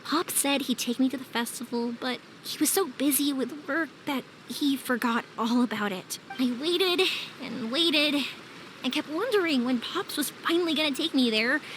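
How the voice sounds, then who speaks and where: A woman playing a big-hearted, determined young woman in a video game, with an earnest, expressive delivery. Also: sits high, normal speed, a little hiss or hum, low-quality recording. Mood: earnest, resolute.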